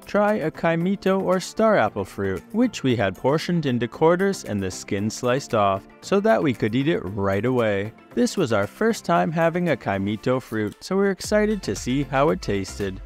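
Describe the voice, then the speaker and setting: A man's voice: medium pitch, darkish tone. A man narrating a travel food vlog in a scripted voice-over, reading out dishes in a sing-song, rising cadence.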